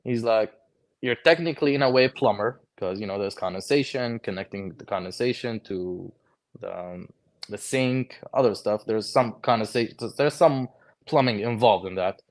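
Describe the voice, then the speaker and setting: Low-voiced young man, laid-back. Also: normal speed. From Lebanon. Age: 20s. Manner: relaxed storytelling, lots of "bro" and "like", acts out quoted conversations. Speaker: guest, HVAC technician. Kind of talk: casual podcast chat.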